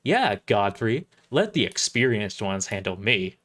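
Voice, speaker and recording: A man's voice: on the low side, sing-song, a little slow, fairly bright tone, heavily acted. A man voicing a brash, sarcastic wounded lady crusader, blunt and cheeky, in an audio story.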